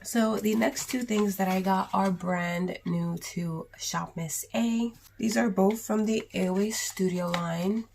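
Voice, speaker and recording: A young woman with a sore throat and cough, chatting casually in a raspy voice through a makeup haul vlog. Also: medium pitch, fairly even intonation, unhurried, few pauses, a little mellow, a little hiss or hum. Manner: chatty, rambling, with many ums and likes. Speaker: beauty vlogger.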